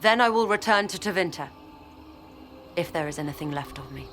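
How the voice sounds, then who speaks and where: An actress playing a proud, idealistic mage lieutenant in a video game cutscene, speaking formally and grandly. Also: female voice, on the low side, unhurried, a bit bright, faint music behind. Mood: grave, resolute.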